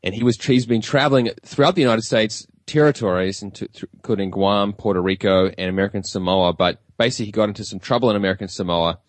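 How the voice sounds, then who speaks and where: A man's voice: lowish voice, steady tone, quick pace, few pauses, no echo. A man hosting a radio show, interviewing in a conversational style. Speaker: radio host.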